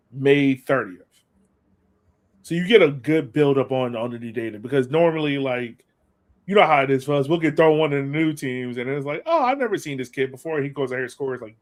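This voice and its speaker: A man chats as a sports podcast co-host. His voice sits at a medium pitch, and he talks casually and colloquially, repeating phrases to drive a point home. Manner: colloquial, emphatic repetition.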